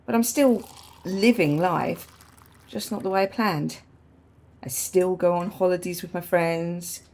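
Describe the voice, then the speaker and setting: A woman's voice: fairly low pitch, measured pace, darkish tone. An actress playing a frank, wry, grieving woman in a naturalistic radio drama, speaking reflectively and repeating phrases for emphasis. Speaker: radio play actor.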